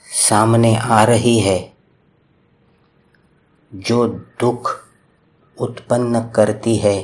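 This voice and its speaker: A man leading a guided meditation with slow, calm, soothing instructions and long pauses. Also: on the low side.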